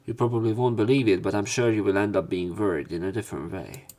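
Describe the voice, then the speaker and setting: A man reading visual novel text aloud as a let's play narrator, in a somewhat halting manner. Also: lowish voice, steady tone, darkish tone. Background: game music.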